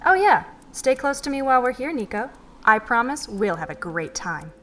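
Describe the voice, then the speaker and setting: An actress playing an enthusiastic, cheerful spaceship pilot. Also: female voice, a little high, sing-song, normal speed, a bit bright. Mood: eager. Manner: bubbly, eager.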